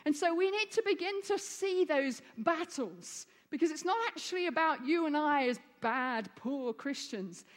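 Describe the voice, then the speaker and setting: Female preacher raised in Egypt, high voice, earnest sermon delivery building to fervent peaks, big hall reverb. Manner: earnest, rising to fervent emphasis. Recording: long reverb of a large hall.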